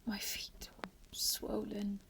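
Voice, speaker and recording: A woman playing a weakened, haunted lone survivor in a horror radio play, speaking in halting, breathy, whispered fragments. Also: mid-range voice, monotone, a bit bright. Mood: bitter, hushed. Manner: halting, fragmented phrases with long pauses. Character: dying lone female survivor, entangled, haunted, bitterly resigned.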